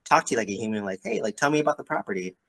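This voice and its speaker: A man's voice: fairly low pitch, lively intonation, rapid-fire, creaky, fairly bright tone. Man coaching in casual conversation. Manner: conversational, peppers talk with "like" and "right?". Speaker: real estate mentor and coach. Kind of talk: casual one-on-one coaching call.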